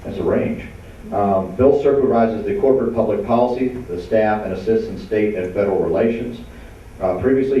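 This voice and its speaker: A man moderating a panel, introducing the next speaker in a casual way with joking asides. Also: on the low side. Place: large meeting room. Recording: far-off, dull, echoey hall.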